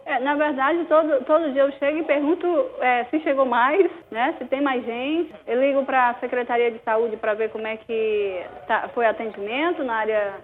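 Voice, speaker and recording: Brazilian woman mayor on the phone, high voice, tinny line, keeps restarting and saying "é". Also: fairly even intonation, normal speed, few pauses. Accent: Brazilian Portuguese. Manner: hesitant, many restarts and fillers. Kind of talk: phone interview answers.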